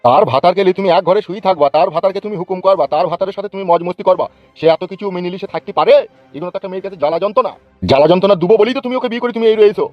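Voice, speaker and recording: An actor voicing a bullying, foul-mouthed cartoon politician in rural West Bengal Bengali, hectoring and boastful. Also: male voice, high voice, rapid-fire, dry recording, highs cut off, soft background music, natural voice. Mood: angry, threatening. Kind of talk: comic cartoon dubbing.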